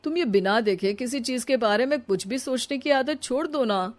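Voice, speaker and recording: A woman's voice: a little high, normal speed, lightly acted. Woman acting a practical young village husband. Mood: calm, explaining. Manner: reasoning, persuasive. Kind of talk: cartoon story acting.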